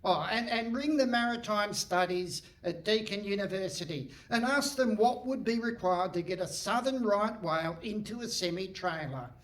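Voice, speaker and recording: An actor playing a curt, pompous and impatient police inspector in a comic radio play on stage. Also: male voice, high voice, lively intonation, normal speed, hardly any pauses, fairly bright tone. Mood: bossy, pompous. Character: bossy, impatient, exasperated male police inspector. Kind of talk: comic live radio theatre. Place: hall stage before live audience.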